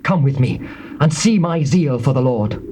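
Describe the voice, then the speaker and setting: A man's voice: medium pitch, animated, moderate pace, dark tone, lightly acted. An actor playing a ruthless, zealous biblical king in a dramatized scripture reading, declamatory and commanding. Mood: earnest. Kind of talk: dramatized scripture reading, theatrical stage delivery. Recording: noisy old cassette tape.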